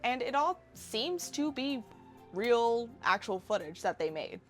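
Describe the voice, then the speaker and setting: A woman's voice: fairly high pitch, sing-song, a little slow, fairly bright tone, faint music behind. A woman in her twenties narrating a casual scripted video essay commentary, wry and given to sarcastic asides. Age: 20s.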